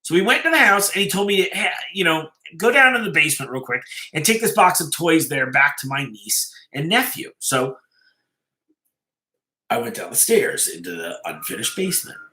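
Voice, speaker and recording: A man reading a suspenseful story aloud as a storyteller, in a measured, dramatic way with pauses. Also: a little high, sing-song, sharp tone. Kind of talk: live dramatic story reading, first-person narration. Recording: roomy, echoey live-stream sound.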